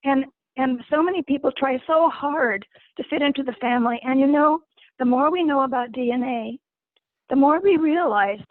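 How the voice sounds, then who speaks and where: Hoarse woman facilitator, high voice, gentle, halting, heard on a thin video call line. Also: dry recording, narrow, tinny audio. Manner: gentle, repeats words while finding them. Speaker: guest facilitator. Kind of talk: informal lecture to an online group.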